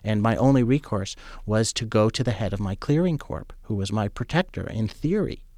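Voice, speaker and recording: A man's voice: fairly low pitch, expressive, normal speed, darkish tone, dry recording, studio quality. A man, a journalist and former trader, telling a personal story in a candid, conversational way.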